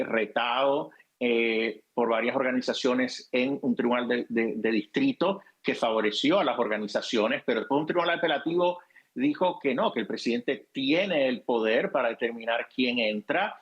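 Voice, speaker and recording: A man with a Puerto Rican accent giving opinionated political commentary as a panel analyst. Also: medium pitch. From Puerto Rico.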